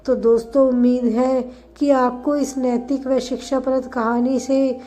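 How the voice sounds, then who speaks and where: A woman tells a moral tale in a fairly high voice, taking her time over each phrase. Her delivery is scripted and instructive, with a softened, mellow tone. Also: little pitch movement, unhurried. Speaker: storyteller, channel narrator. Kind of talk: scripted moral story narration.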